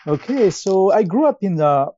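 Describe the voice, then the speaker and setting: A man in his fifties with a French accent answers interview questions conversationally, in a hesitant, halting way with many pauses. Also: high voice, very animated, mellow, no echo, dull audio. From France. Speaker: guest, company founder and CEO.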